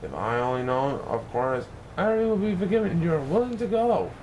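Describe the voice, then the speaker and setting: Storybook professor played by a male reader: stern, commanding, raised pitch, noisy recording. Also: big pitch swings, fast talker, darkish tone, dull audio. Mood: earnest, proud. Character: stern, excitable male professor and museum director.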